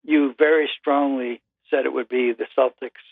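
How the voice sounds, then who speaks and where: Older dad on the phone, slow halting dry talk, tinny line, no room sound. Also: male voice, medium pitch, fairly even intonation, gravelly, no room sound. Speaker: guest, the host's father. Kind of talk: casual phone chat. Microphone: phone call.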